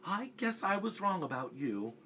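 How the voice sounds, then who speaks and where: A man voicing a kind, plump old woman, speaking brightly and gently in a sing-song way. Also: sits high, big pitch swings, moderate pace, slight background noise, voice pushed up, exaggerated voice. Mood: gentle.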